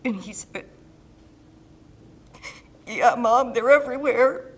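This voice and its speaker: Halting, grieving mother. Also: a little high. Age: middle-aged. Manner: halting, soft, searching for words. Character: grieving small-town mother of a soldier.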